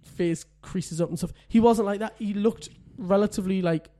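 A man's voice: normal speed, dark tone. A man, a podcast co-host telling a parenting story in casual chat, often laughing. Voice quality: says he has a deep voice.

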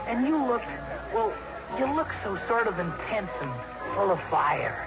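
An awkward, lovelorn teenage boy in an old radio comedy. He speaks haltingly in a high, wavering-pitched voice. Loud music plays behind the dull recording. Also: very animated, creaky, full character voice. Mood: smitten. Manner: hesitant, halting, earnest. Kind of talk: 1950s radio comedy acting.

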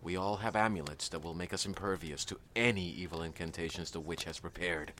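A man's voice: low voice, a little fast, a bit bright, a little hiss or hum. A man playing a pompous, paranoid gang leader in an audio drama, bossy and melodramatic with grandiose delivery. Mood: grave, commanding. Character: pompous, paranoid male gang leader rallying his followers.

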